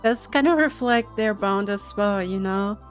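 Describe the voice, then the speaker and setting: A woman streaming a game playthrough with casual, chatty commentary, musing and halting as she goes. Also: mid-range voice. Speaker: streamer, let's player. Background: game music.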